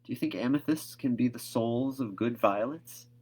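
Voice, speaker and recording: A man's voice: medium pitch, normal speed, a little mellow, pitched above the performer's own voice, lightly acted, low-quality recording. A man voicing an earnest, imaginative young girl in a storybook, with a wordy, dramatic manner. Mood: gushing wonder. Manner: effusive, wordy, hesitant when questioned.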